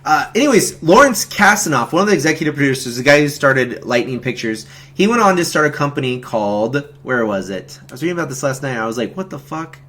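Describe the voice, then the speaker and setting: A man hosting a casual film commentary stream, talking in a halting, rambling, slangy way. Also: medium pitch, big pitch swings, bright, forceful tone. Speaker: host of a film commentary stream, amateur horror filmmaker.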